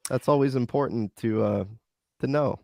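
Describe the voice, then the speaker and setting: A man's voice: mid-range voice, normal speed, gravelly, dark tone, no room sound, clean studio sound. A male host running a casual interview, asking questions in short bits and laughing often.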